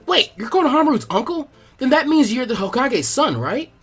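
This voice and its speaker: Man doing an excitable high-pitched boy ninja. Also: brisk, breathy edge, soft background music, heavily acted. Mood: excited. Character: excitable, naive young boy ninja trainee, cheerful and blunt.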